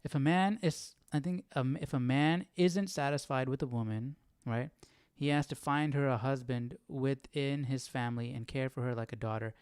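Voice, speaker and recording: A man hosting an informal livestream Bible study, reading aloud and thinking out loud in a musing, hesitant, self-questioning way. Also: medium pitch, steady tone, moderate pace, hardly any pauses, clear, resonant tone, dry recording, clean studio sound.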